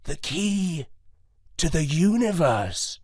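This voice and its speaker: A man voicing an ancient, solemn male dog who speaks slowly and clearly in a children's story. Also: a little high, sing-song, darkish tone, no echo, studio quality, heavily acted. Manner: slow, solemn, clearly spoken. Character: ancient, solemn, grey-nosed male moondog.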